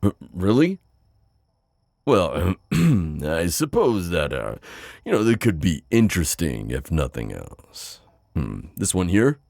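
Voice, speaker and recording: A man playing a suave, skeptical demon buyer in an ASMR audio roleplay, with a deep voice and a sardonic, halting manner. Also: huge pitch swings, creaky, a little mellow, dry recording, heavily acted. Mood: amused, incredulous. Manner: flirty, sardonic, hesitant pauses and drawn-out words.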